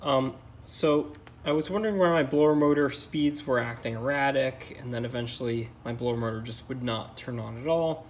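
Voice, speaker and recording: A man's voice: medium pitch, moderate pace, few pauses, a little hiss or hum. A man giving a casual hands-on repair explanation in a hesitant, matter-of-fact way. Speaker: car repair vlogger.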